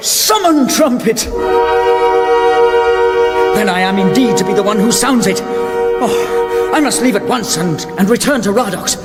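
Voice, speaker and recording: An earnest young fantasy hero in a radio comedy. His high, lively voice is polite and mock-heroic, declaiming naively. It sits in long reverb with music behind. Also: measured pace, pauses often. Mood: excited, heroic.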